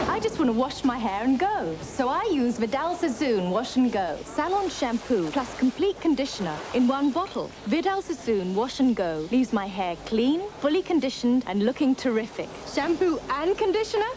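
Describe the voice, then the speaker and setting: An actress playing a brisk, confident young woman giving an emphatic testimonial in a noisy old TV advert. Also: high-pitched, big pitch swings.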